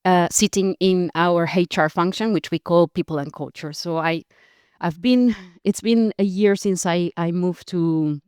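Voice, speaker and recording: A woman's voice: on the low side, unhurried, a little mellow, clean tone, no room sound, clean studio sound. A woman from Costa Rica, a podcast guest, telling her career story with frequent fillers, restarts and light laughs. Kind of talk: interview conversation.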